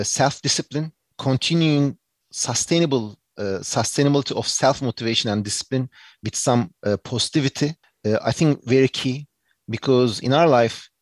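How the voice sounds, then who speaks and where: A man's voice: mid-range voice, dry recording. A man from Turkey with a Turkish accent, giving earnest, reflective answers as an interview guest, with many fillers and restarts.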